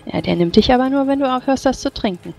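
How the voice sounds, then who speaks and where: A woman playing a tabletop game, improvising in character in a gentle, coaxing, hesitant way. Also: sits high, lively intonation, quick pace, gravelly. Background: faint music.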